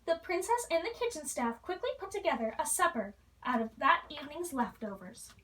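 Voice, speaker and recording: A woman reads a storybook aloud for children. Her voice is extremely high and bright, with lively swings in pitch. She sounds set back from the microphone. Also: a little fast, recorded from a distance. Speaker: children's story reader appearing as a princess.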